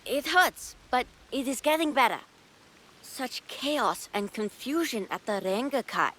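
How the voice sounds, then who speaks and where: A woman voicing an injured young woman who speaks softly and slowly. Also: sits high, lively intonation, full character voice.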